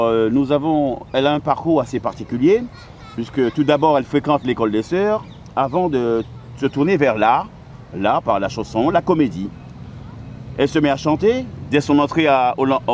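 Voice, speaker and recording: A man's voice: medium pitch, a little mellow. A man hosting an outdoor interview in a city park, introducing his guest and asking questions with frequent hesitant fillers. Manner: friendly, halting with frequent "euh" fillers. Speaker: interviewer and host. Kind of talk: casual interview. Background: faint outdoor ambience with birds and traffic.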